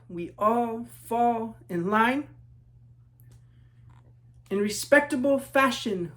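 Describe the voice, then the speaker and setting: A man from New Mexico reading his children's book aloud in measured rhythm, as a storyteller talking to young listeners. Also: high voice. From New Mexico, United States.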